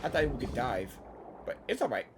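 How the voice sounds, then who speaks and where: A gaming host giving casual live reactions in an excitable, playful, repetitive way. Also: medium pitch, a little fast, noisy background. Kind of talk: casual live game commentary.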